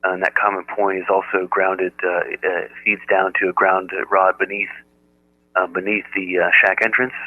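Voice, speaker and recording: Deep-voiced man on a narrow radio channel. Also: little pitch movement, moderate pace. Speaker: amateur radio operator. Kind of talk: casual radio chat, explaining technical setup. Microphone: radio transceiver audio output. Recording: narrow muffled radio audio, dry.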